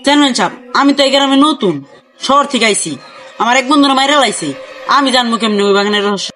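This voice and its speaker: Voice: mid-range voice, quick pace, a bit bright, close to an everyday voice. A performer playing a frightened young visitor from the city in an amateur comedy horror skit, speaking in an earnest, pleading way. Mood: distressed. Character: frightened young city visitor seeking to avenge his friend.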